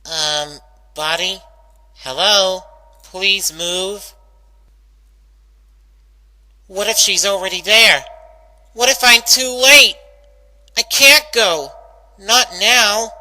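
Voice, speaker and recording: A man's voice: high-pitched, bright, forceful tone, voice pushed up, full character voice, rough recording. A man voicing an anxious young female cartoon pegasus pony, hesitantly arguing with herself in a dramatic story reading. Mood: panicky. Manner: hesitant, self-arguing, dramatic inner monologue. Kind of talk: dramatic fan-fiction reading.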